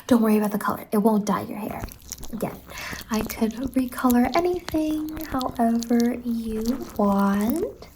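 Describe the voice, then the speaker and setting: A woman acts out a gentle, whimsical female stylist from a dreamlike salon roleplay. Her voice sits fairly high and moves slowly, drifting between soft speech and whispers. She is reassuring and close to the microphone, with faint noise behind her. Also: low-quality recording. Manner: gentle, reassuring, drawn-out words.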